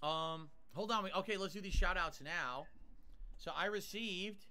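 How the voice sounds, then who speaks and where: A man with a bright, forceful voice pitched a little high chats his way through a livestream. He talks casually, describing food bite by bite, reading viewer tips aloud and thanking each supporter. He sounds a little nasal from nasal drip. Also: unhurried. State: mouth burned, nasal drip. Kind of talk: casual stream chat.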